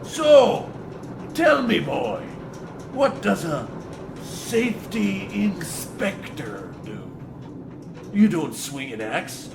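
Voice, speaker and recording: A man playing a gruff, scornful veteran coal mine foreman in a comic radio play, with a folksy, menacing delivery. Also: high-pitched, big pitch swings, very slow, exaggerated voice. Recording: roomy, noisy mine ambience.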